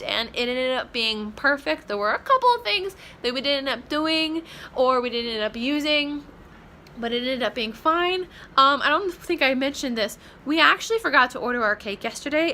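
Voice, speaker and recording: Chatty young woman vlogging, high bright voice, rambly, faint hiss behind. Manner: chatty, rambling with many "like" fillers. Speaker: vlogger, bride. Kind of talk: casual vlog chat. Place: hotel room, indoors.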